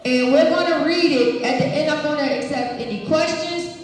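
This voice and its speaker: A woman, an energetic children's author reading aloud live to a school audience, projecting and engaging listeners with questions. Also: high voice, moderate pace. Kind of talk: live read-aloud, call-and-response with children. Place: large echoey room at an elementary school. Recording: very echoey hall, distant mic.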